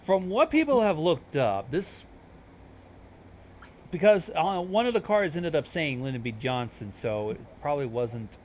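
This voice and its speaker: Male host with a high-pitched voice, informal, sweary, rambling explanations, slight noise behind him. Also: big pitch swings, measured pace, a little mellow. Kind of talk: casual explanatory commentary.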